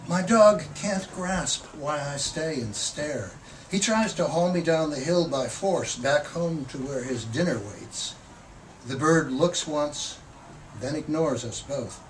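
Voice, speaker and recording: A man who is a poet reading his own work aloud at a live reading, with expressive, theatrical emphasis. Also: fairly high pitch. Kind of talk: live poetry reading with brief spoken introductions. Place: public poetry reading in a bookstore. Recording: distant mic, noisy room ambience.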